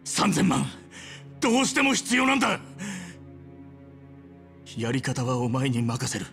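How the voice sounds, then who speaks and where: A man playing a desperate, hot-headed young yakuza in a video game drama, rough-spoken and pleading. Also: mid-range voice, big pitch swings, a little slow, a bit bright, faint music behind, heavily acted. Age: young adult.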